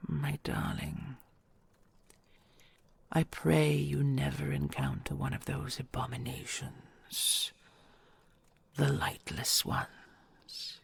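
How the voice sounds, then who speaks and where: Woman voicing a husky, creaky, whispering ancient dragon queen. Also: extremely low pitch, flat delivery, dry recording, exaggerated voice. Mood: grave, tender. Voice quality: husky, breathy, half-whispered with gravelly creak. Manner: stately, measured, formal and intimate endearments. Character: ancient, tender, regal female dragon queen, devoted and wistful. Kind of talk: intimate audio roleplay monologue.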